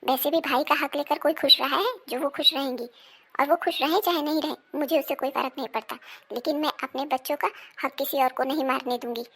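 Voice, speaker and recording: A woman playing an emphatic, melodramatic married daughter-in-law in an animated family moral drama. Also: sits high, a little fast. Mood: indignant, defiant. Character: indignant married woman, sharp-tongued wife and daughter-in-law.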